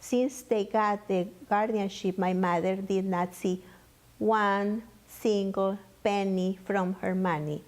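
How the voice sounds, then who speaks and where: A woman's voice: medium pitch, fairly even intonation, slow, a little mellow. A woman interviewed for a news story, speaking as an advocate.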